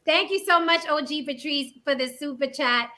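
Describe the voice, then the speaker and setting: A woman's voice: high-pitched, normal speed, pushed and bright. A woman hosting a live stream, chatty and upbeat, with plenty of ums. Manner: upbeat, chatty, filler-heavy.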